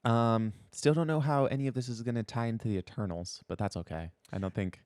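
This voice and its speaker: A man's voice: medium pitch, brisk, darkish tone, no echo, clean studio sound. A man co-hosting a podcast, chatting in an informal, conversational way. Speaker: podcast co-host. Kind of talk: casual chat.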